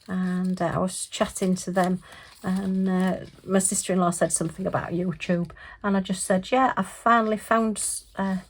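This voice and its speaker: A middle-aged woman from England, slightly hoarse from losing her voice, chatting and rambling with fillers while sorting craft supplies at her desk. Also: on the low side, rough recording. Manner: chatty, rambling, with frequent fillers and light laughs. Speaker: craft vlogger. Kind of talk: casual chat while crafting. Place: home craft room, at a desk. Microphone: overhead camera above the desk, hands only.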